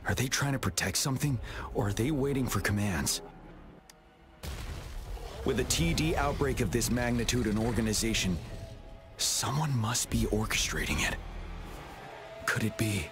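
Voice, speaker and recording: An actor playing a stern, resolute army general in a video game, grave and commanding. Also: male voice, medium pitch, normal speed, long pauses, lightly acted. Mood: grave, wary. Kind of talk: dramatic video game acting. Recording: game music behind the voice.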